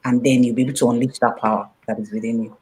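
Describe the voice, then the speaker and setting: A woman whose first language is Yoruba, answering questions as an author at a book launch, animated and laughing, with many fillers. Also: a bass voice, very fast, low-quality recording. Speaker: author being interviewed.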